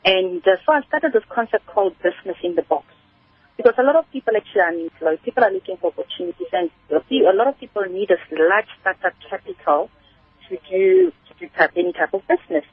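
Husky South African woman on a tinny phone line. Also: medium pitch, big pitch swings, fast talker, no echo. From Kimberley, South Africa. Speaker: guest, spice business entrepreneur. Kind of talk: conversational radio interview answers.

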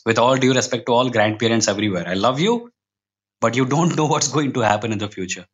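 An Indian-accented man talks casually as a podcast guest. His manner is wry and opinionated, wandering into tangents and then making his points with emphasis. His voice sits at an ordinary male pitch. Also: lively intonation, fast, slightly rough, dull audio. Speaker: podcast guest, comic book writer.

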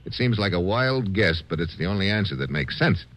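An American man playing a bold, wry, hard-boiled adventurer in an old-time radio drama, with brisk informal delivery. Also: low-pitched, lively intonation, fairly bright tone. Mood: determined. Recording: noisy vintage radio recording.

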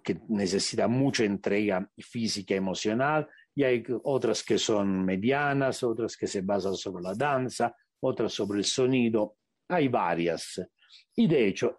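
A man with an Italian accent talks as a meditation teacher on a radio show, searching for his words and slowing down to stress key points. His pitch rises and falls a lot. The recording is dry and close. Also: darkish tone, no room sound. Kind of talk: reflective interview answers.

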